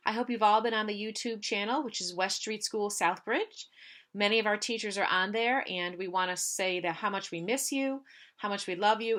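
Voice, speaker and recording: A woman's voice: mid-range voice, fairly bright tone. A woman reading a picture book aloud to young pupils as a member of school staff, lingering on repeated phrases. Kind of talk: children's storybook read-aloud.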